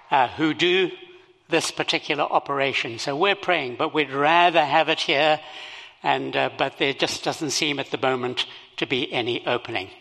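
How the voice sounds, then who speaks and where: An older man with a Zimbabwean accent, speaking as a missionary at a church service, slow and halting with many fillers. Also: fairly high pitch, vocal fry, bright, forceful tone, long reverb tail. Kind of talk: sermon.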